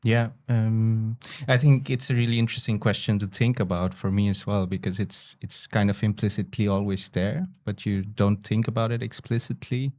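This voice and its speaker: A man from Bangladesh, speaking as an interview guest in a measured, hesitant, reflective way. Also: on the low side, fairly even intonation, darkish tone. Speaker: podcast guest, photographer, educator, researcher.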